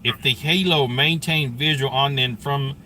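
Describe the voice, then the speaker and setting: A man with a bright, forward voice streaming live commentary. He talks conversationally and thinks out loud, with faint vehicle and scanner noise behind him. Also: medium pitch, measured pace.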